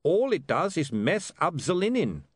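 An unflappable male vampire from an audiobook, played by a man, chipper and politely fussy. The voice is high and very lively, matter-of-fact in delivery, with a close, dry sound. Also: a little slow, breathy edge, no echo, full character voice. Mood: wryly chipper. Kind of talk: audiobook character dialogue.

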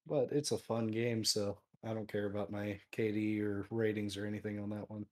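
A man with a low voice that people call fit for radio. He chats easily as a podcast guest and pokes fun at himself in a relaxed way. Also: normal speed. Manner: easygoing, self-deprecating. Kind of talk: casual podcast chat.

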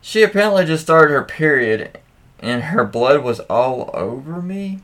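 Slow-paced man, casual and profane storyteller. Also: a little high, big pitch swings, a bit bright. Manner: informal, profane, reacts with interjections. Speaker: podcast host. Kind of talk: casual read-aloud of anecdotes with offhand commentary.

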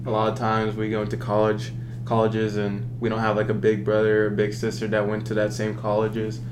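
A man's voice: on the low side, completely flat delivery, brisk. A college-age man from Arkansas talking casually as a student guest in a discussion. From Arkansas, United States. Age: about 20. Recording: clear background hum.

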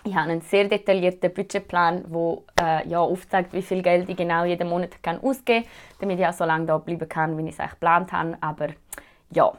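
A woman's voice: on the low side, a little fast. A young woman in her twenties from Switzerland with a Swiss German accent, chatting casually to viewers as she answers their questions. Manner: chatty, relaxed, many fillers. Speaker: vlogger.

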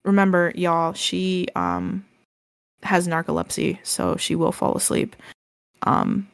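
A woman co-hosting a casual podcast, speaking gently and haltingly with many ums and restarts, her voice described as soothing. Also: on the low side, sing-song, vocal fry, dry recording, studio quality. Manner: gentle, reassuring advice. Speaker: podcast co-host.

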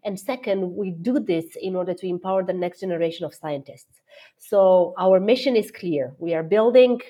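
A woman's voice: fairly low pitch, moderate pace, mellow, slightly rough. A middle-aged woman from Romania, a guest executive answering interview questions with enthusiasm, many fillers and restarts.

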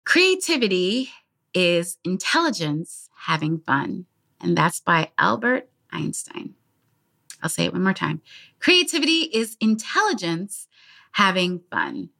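A woman's voice: lowish voice, huge pitch swings, takes it slowly, vocal fry, bright, forceful tone. An American woman co-hosting a podcast in casual chat, speaking in an earnest, encouraging way. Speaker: podcast co-host.

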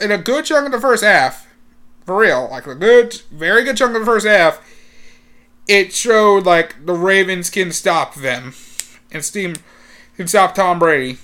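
A young man talking casually as a sports fan, rambling, repeating himself and using lots of fillers, and getting loud and excited at the big moments. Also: high voice. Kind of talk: casual talk to camera.

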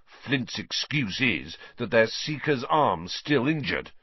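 An intense young sports captain from a storybook , acted by a man. He is bossy and urgent, with a raised voice that swings widely in pitch. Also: sing-song, takes it slowly, slightly rough, no room sound, dull audio, full character voice. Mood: angry. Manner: emphatic, urgent, clipped. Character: intense, anxious, bossy teenage boy team captain.

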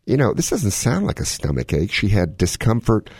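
A man's voice: lowish voice, huge pitch swings, normal speed, creaky, darkish tone, breathy edge, no room sound, studio quality. A man hosting a radio talk show, giving casual, folksy health advice with plenty of joking. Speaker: medical expert radio host.

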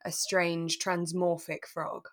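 A woman with a gently low-set voice talks as a podcast co-host. Her delivery is conversational and thoughtful, chatty, with frequent tag questions seeking agreement. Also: level tone, slow pace. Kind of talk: relaxed conversational drama recap.

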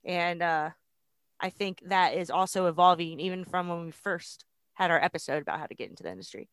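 A woman's voice: lowish voice, a little fast, a bit bright, clear, resonant tone, no echo. A woman co-hosting a podcast who works as a brewer, talking in an upbeat, enthusiastic way. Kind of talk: casual chat.

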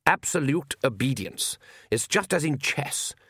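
A man's voice: mid-range voice, big pitch swings, measured pace, a bit bright, breathy edge, dry recording, clean studio sound, pitched above the performer's own voice, full character voice. Man playing a suave, condescending vampire count. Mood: smug, silky. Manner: silky, condescending. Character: suave, smug male vampire count, villain.

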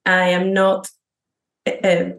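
A woman's voice: fairly low pitch, completely flat delivery, slow pace. A woman in her thirties from Argentina, speaking English with an Argentine Spanish accent as a podcast guest, thoughtful and laughing lightly as she talks. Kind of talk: conversational interview.

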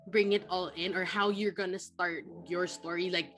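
Young filipina woman hosting a podcast, chatty with lots of ums, lowish voice, faint music behind. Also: fairly even intonation, normal speed, fairly bright tone. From Philippines. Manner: chatty, many fillers and restarts. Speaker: podcast host. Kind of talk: casual podcast interview.

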